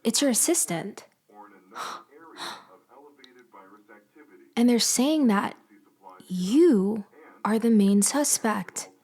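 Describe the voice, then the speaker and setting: A woman acting as a bitter, sardonic ex-girlfriend out for revenge in an ASMR-style audio roleplay, with pointed, mock-sweet delivery and loaded pauses. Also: a little high, lively intonation, darkish tone, heavily acted. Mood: feigned shock. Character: vengeful ex-girlfriend freshly released from prison, bitterly sardonic.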